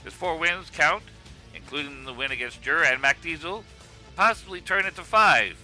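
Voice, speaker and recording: Brash cartoon sportscaster played by a man, high and piercingly bright, with music underneath. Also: lively intonation, moderate pace, low-quality recording. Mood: hyped. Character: brash male cartoon wrestling commentator. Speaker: sports commentator. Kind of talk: play-by-play commentary in an amateur web cartoon.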